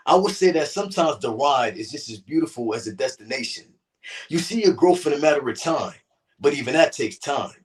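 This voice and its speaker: A man's voice: fairly high pitch, far from the mic, low-quality recording. A man speaking earnestly in an interview, often repeating words and asking "you know what I'm saying", and also reading a poem aloud. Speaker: interview guest, poet. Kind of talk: casual interview talk and poetry reading.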